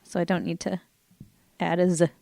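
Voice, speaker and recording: A woman's voice: fairly low pitch, completely flat delivery, moderate pace, creaky, warm tone, no room sound. A woman from Vermont co-hosting a community radio show, chatting casually in a playful, teasing way. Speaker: radio co-host. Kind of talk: casual chat. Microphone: studio microphone.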